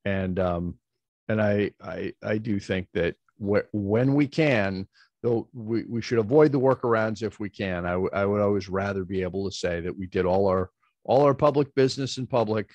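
A man chairing a public commission meeting over a video call, speaking in a measured, halting way with many fillers and restarts. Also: on the low side, darkish tone, dry recording, muffled recording.

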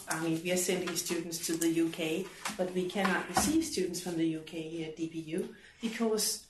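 A middle-aged woman speaks up in a seminar discussion. Her voice sits fairly low and comes from a distance in an echoey classroom. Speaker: university staff member in discussion. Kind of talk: spoken comments in a seminar discussion. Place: classroom, indoors. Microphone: distant room microphone. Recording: distant, echoey room with clear noise.

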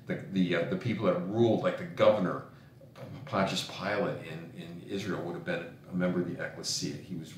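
Deep-voiced pastor, echoey and distant. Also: male voice, slight background noise. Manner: earnest, repeats and restarts phrases. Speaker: pastor and ministry trainer. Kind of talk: recorded teaching lesson. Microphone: webcam on a screen recording. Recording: very echoey room, voice far from mic.

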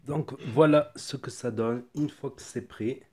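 A man with a cold reviewing a ready meal at home in a relaxed, chatty way, thinking aloud as he goes. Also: mid-range voice, unhurried. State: has a cold. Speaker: food reviewer. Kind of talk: casual product tasting review. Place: home kitchen table.

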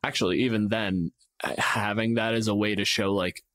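A man's voice: on the low side, steady tone, moderate pace, a bit bright, no room sound. A man co-hosting a casual, unscripted chat about tabletop games, swearing now and then and playing out hypotheticals. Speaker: podcast co-host.

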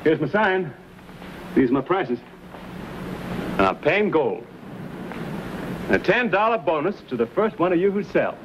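A man's voice: a little high, big pitch swings, unhurried, pauses often, lo-fi sound. A bold, stubborn American frontier trader in an old TV western. His easygoing voice moves up and down freely, on a noisy vintage soundtrack. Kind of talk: 1950s TV western acting.

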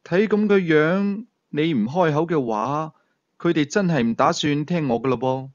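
A man with a Hong Kong Cantonese accent voicing a sharp, teasing, authoritative police team leader in an audio thriller. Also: fairly high pitch, normal speed, dry recording, muffled recording, lightly acted. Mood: probing, teasing.

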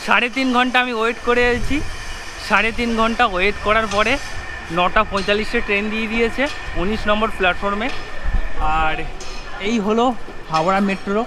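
A man's voice: sits high, a little fast, long pauses. A man with an Indian Bengali accent talks casually to viewers as a travel vlogger, explaining things and often checking that they follow. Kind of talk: casual vlog narration to viewers. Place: busy railway station and train carriage. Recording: loud station crowd and train noise. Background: crowd chatter and railway noise.

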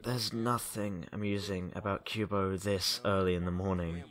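A man playing a grumpy, sleepy, blunt male student in an audio drama, with a deadpan manner. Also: sits low, normal speed.

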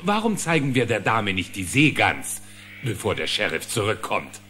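An actor playing a wry, easygoing bear pilot who mutters asides in a cartoon audio play. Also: male voice, on the low side, big pitch swings, moderate pace, bright, forceful tone, faint music behind. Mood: covering up. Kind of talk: cartoon radio play acting in German.

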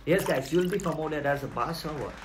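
A man's voice: a little high, a little fast, crowd noise, noisy background. A man, explaining things to viewers in an emphatic, repetitive, direct way. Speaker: video host giving career advice. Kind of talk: explainer vlog to camera. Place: small plain room indoors. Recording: music behind the voice.

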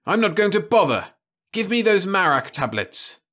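Male reader as volatile stranded spaceman, shouty, agitated, sci-fi storybook. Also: high voice, expressive, brisk, voice pushed up. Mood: angry. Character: bitter, volatile man stranded on asteroid. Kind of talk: storybook reading.

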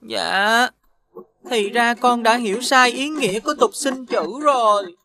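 A woman voicing a playful, sincere young child in an animated series. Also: a little high, measured pace, no room sound. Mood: earnest. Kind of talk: Vietnamese animated series dubbing.

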